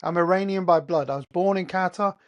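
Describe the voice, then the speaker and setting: A man's voice: high-pitched, a little fast, muffled recording. A man with an English accent, hosting a heated solo monologue, blunt and profane, firing off rhetorical questions. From England. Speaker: host. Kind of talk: ranting monologue.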